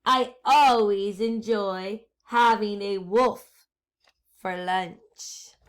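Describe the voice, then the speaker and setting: A woman's voice: mid-range voice, drawn-out words. A woman voicing a cranky, hungry, scheming storybook witch with a screechy, demanding manner. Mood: sly, inviting.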